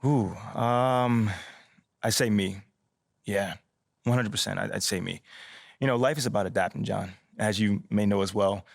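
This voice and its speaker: A man's voice: on the low side, normal speed, creaky, dry recording, studio quality. A man playing an easygoing young American basketball player in a video game, chatting casually and politely. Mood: relaxed, confident. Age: young adult. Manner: laid-back, friendly, informal slangy talk. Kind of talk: casual conversational video game cutscene acting.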